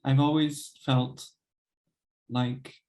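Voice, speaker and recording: A young man and composer, speaking thoughtfully in an interview, hesitant with many pauses and soft laughs. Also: mid-range voice, flat delivery. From United States. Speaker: composer and pianist guest. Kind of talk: reflective interview conversation.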